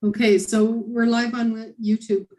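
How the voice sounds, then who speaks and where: A woman chairing a committee meeting over a video call, friendly and halting, with many fillers and restarts. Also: on the high side, little pitch movement, dark tone. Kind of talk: casual meeting chat.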